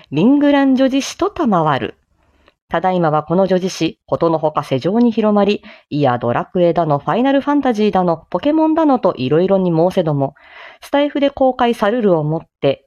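Expressive woman reciting, animated pitch swings. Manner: declamatory, sing-song, playful asides. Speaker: amateur voice drama performer, streamer. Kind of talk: theatrical rapid recitation of a traditional Japanese sales-pitch monologue parody. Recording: slightly dull lo-fi microphone sound.

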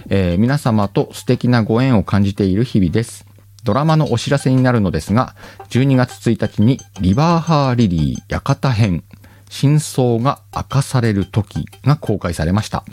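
A man's voice: lowish voice, a little mellow. A man hosting a casual solo talk show, reading listener letters aloud in a chatty, self-mocking way with many fillers. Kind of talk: casual solo radio-style chat. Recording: faint background music.

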